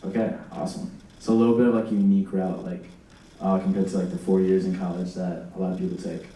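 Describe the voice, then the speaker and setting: A young man hosts a campus interview, tossing out quick, rambling questions full of "like" fillers. His voice is low and mellow. It sounds distant in a very echoey room. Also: level tone. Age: young adult. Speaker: student host, interviewer. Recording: roomy hall, distant mic.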